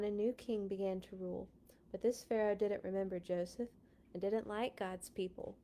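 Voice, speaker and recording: A woman hosting a children's read-along, reading storybook text with deliberate dramatic emphasis and dropping into casual asides. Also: medium pitch, mellow. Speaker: children's read-along host and Bible school teacher.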